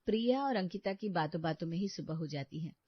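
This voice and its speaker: A woman giving expressive, scripted narration for a cartoon moral story. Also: lowish voice, brisk, no echo. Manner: expressive, dramatic. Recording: dry dull lo-fi studio.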